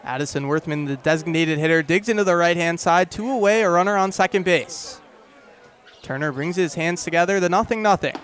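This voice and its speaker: A young man doing live radio baseball play-by-play in clipped phrases that build to excited calls. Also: fairly high pitch, fairly quick, smooth. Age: young adult. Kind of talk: live radio sports commentary.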